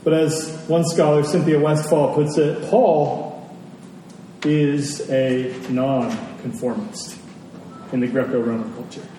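A man preaching a storytelling sermon to a congregation, deliberate, with dramatic pauses and emphasis. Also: medium pitch, little pitch movement, warm tone. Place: large indoor church space. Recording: very echoey hall, distant mic, faint noise.

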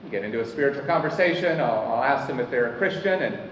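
A man preaching a sermon as pastor, earnest, slowing down to stress his key points. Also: mid-range voice, lively intonation, a bit bright, slight background noise. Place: church hall. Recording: echoey hall, mic at a distance, dull sound.

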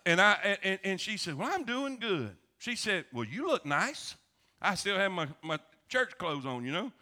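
Male preacher, Southern American, high-pitched and forceful, folksy sermon delivery with repeated phrases. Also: moderate pace. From South Florida, United States. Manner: folksy, animated, repeats phrases, calls for amens. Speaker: pastor. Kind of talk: sermon, folksy storytelling to a congregation.

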